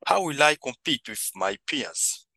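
Bright, forceful man with a Congolese accent. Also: medium pitch, big pitch swings, unhurried, vocal fry, no room sound. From Democratic Republic of Congo. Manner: earnest, non-native English phrasing. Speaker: podcast guest, social entrepreneur. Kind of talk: reflective interview answers.

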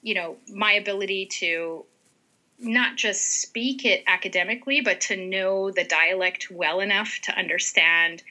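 A woman's voice: medium pitch, a little slow, sharp tone, poor audio. A woman author and ethnographer, a native Western Armenian speaker, answering interview questions thoughtfully with many fillers and restarts.

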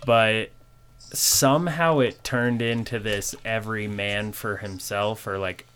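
A man in his twenties from Colorado, hosting a casual chat in a relaxed, conversational way with lots of "you know". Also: lowish voice, slow, clean tone. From Colorado, USA. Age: 20s. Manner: laid-back, conversational, peppered with "you know".

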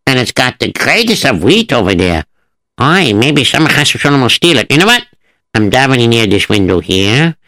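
A man's voice: mid-range voice, big pitch swings, moderate pace, pushed and bright. Man putting on a fretful farmer. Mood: worried. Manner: fretful, sing-song, wailing. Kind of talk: storytelling.

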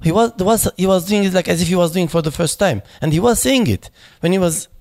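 A man speaking at a memorial panel, halting and searching for words, with many fillers and restarts in English that is not his first language. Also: high voice, animated, darkish tone. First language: not English; foreign accent in Turkish noted.

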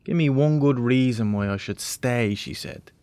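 A man's voice: medium pitch, moderate pace, dark tone, dry recording, close to an everyday voice. Actor as a glum, self-pitying young man. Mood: evasive, glum. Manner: hesitant, mumbling, downbeat. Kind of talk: naturalistic radio drama acting.

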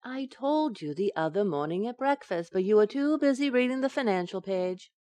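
An actress playing a feisty, playful housewife in a 1940s-style radio sitcom, with wry, teasing delivery. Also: female voice, medium pitch, expressive, normal speed, warm tone, no room sound, close to an everyday voice. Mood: sarcastic, amused.

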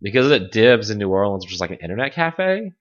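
A man's voice: fairly low pitch, very animated, quick pace. A man co-hosting a podcast, chatting casually with lots of fillers and the occasional laugh. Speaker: podcast co-host. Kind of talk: casual chat. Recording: dull lo-fi audio.

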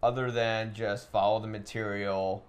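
A man's voice: fairly low pitch, fairly even intonation, moderate pace. A man hosting a casual podcast chat while playing a video game, talking discursively, giving opinions and posing questions. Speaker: podcast host. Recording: clearly noisy room recording.

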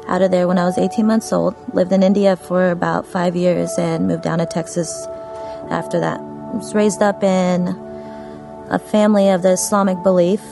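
Soft, creaky woman telling her testimony. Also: on the low side. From Afghanistan. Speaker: church member giving testimony. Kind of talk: personal testimony video. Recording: music playing behind the voice.

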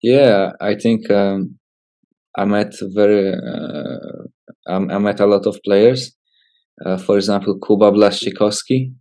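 A Polish man in his twenties with a Polish accent, giving casual interview answers as a footballer in hesitant, halting English. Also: fairly low pitch, fairly even intonation, mellow. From Poland. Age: 20s. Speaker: professional footballer, interview guest.

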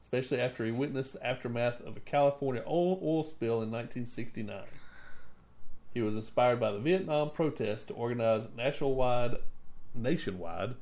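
A man's voice: mid-range voice, normal speed, a little mellow. A man co-hosting a casual chat at home with his child, prompting with leading questions. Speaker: podcast co-host talking with his child.

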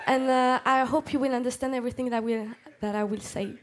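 A jet-lagged singer with a French accent chatting and giggling to the crowd between songs, calling out loudly from a stage mic. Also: female voice, on the high side, steady tone, brisk, a little hiss or hum. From France. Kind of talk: between-song stage banter. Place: live music venue. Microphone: stage vocal mic. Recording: roomy live concert sound with loud band. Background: band music and audience.

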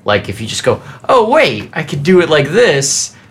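A man's voice: a little high, huge pitch swings, normal speed. A man hosting a casual interview, curious and riffing on ideas with his guest. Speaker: podcast host.

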